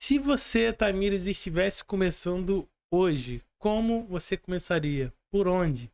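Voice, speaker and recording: A man with a Brazilian accent and a distinctly high voice hosts a casual podcast. He talks informally and effusively, using plenty of slang as he addresses his guest and audience. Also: lively intonation, measured pace, darkish tone. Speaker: podcast host.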